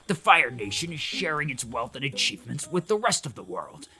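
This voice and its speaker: A man voicing a zealous, stubborn male Fire Nation soldier in a fan-made animated comic dub, with rigid, fanatically loyal declarations. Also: medium pitch, brisk, exaggerated voice. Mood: stern. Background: background music.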